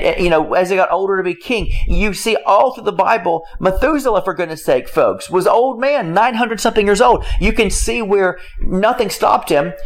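High-voiced man preaching with emphatic repetition. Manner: emphatic, repeats phrases, asks rhetorical questions; acts out quoted listeners' excuses in other voices. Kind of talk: motivational sermon.

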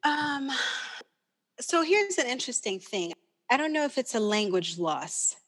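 A woman's voice: sits high, sing-song, unhurried, fairly bright tone. A woman from the Philippines, a native Tagalog speaker, chatting informally as a podcast guest and thinking aloud as she explains. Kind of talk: casual conversational interview answers.

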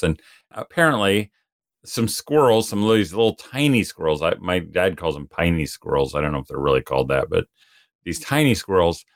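Low-voiced chatty male podcast host. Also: a bit bright, dry recording, studio quality. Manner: chatty storyteller, laughs often, acts out quoted voices. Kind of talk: casual podcast chat.

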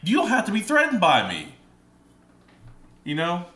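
Bright-voiced man in casual chat. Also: high voice, huge pitch swings. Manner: thoughtful, halting, many fillers. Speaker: podcast co-host. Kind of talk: casual conversation.

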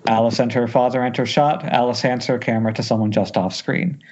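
A man's voice: mid-range voice, steady tone, brisk, darkish tone, husky. A man reading scripted stage directions as narrator at an amateur table read. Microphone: Skype call. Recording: dull lo-fi internet call.

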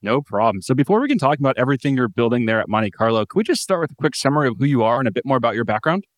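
A man hosting an interview podcast who asks brisk, prepared questions. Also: medium pitch, big pitch swings, no echo. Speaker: podcast host.

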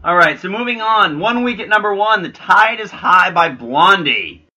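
Male countdown host, very bright slightly high voice, casual comedic chat. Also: lively intonation, normal speed, soft background music, rough recording.

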